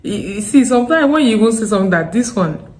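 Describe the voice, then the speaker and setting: A young woman from Nigeria's Delta with a strong Deltan accent, giving frank, animated advice to camera in a casual vlog. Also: medium pitch, fast talker. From Nigeria, Delta State. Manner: frank, animated, laughing asides. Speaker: vlogger. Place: home in Accra.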